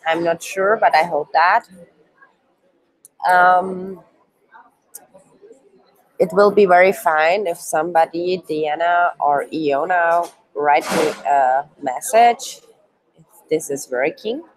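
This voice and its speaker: A woman's voice: fairly low pitch, slow, long pauses, poor audio. A woman in her twenties who is not a native English speaker gives a live online training presentation in hesitant English full of ums, while she is sick. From Switzerland, Turkish roots. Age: 20s. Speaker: youth work trainer.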